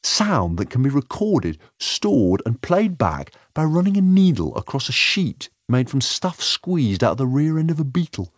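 A man playing an excitable, kindly alien time traveller who rambles enthusiastically in an audiobook story. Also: mid-range voice, normal speed, hardly any pauses, no room sound, studio quality, voice pushed up. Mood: excited, rambling.

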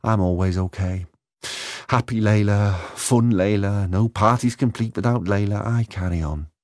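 A man's voice: lowish voice, normal speed, a little mellow, no echo, studio quality, lightly acted. Brittle, forthright young woman, voiced by a man. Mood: sad, brittle.

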